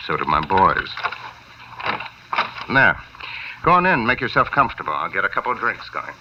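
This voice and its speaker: A distant, muffled old radio recording of a nightclub boss in a noir drama. The voice is low. He sounds smooth and chummy on the surface, guarded and insinuating underneath. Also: very animated, normal speed, noisy background. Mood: falsely genial. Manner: insinuating, falsely casual, chummy. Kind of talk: 1950s radio noir acting.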